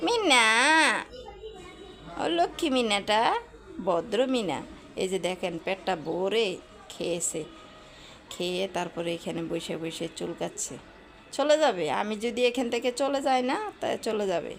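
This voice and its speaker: A woman's voice: mid-range voice, sing-song, a little slow, long pauses. A woman with a Bangladeshi accent narrating her daily life at home in an informal, chatty, colloquial way. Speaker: home vlogger. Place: at home, kitchen and balcony.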